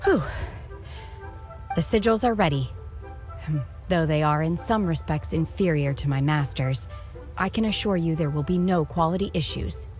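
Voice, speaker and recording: Actress as detached young woman raised by immortals. Low, soft, measured, earnest game dialogue. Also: soft background music, lightly acted. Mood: composed. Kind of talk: scripted video game voice acting.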